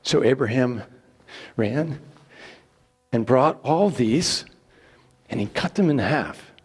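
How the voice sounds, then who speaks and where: A man narrating scripture as a dramatic reading, with deliberate pauses and theatrical emphasis. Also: medium pitch, animated, husky. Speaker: scripture reader.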